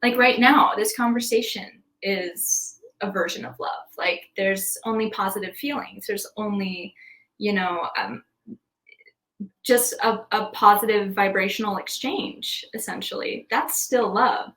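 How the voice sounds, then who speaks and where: A woman's voice: medium pitch, measured pace, a bit bright. Bubbly, giggly woman in an echoey room. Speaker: podcast guest, singer. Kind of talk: casual interview chat.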